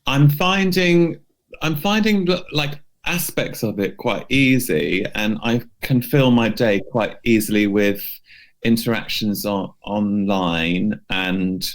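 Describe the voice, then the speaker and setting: A man co-hosting a podcast in casual chat, with a halting delivery that often repeats words. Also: fairly low pitch. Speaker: podcast co-host.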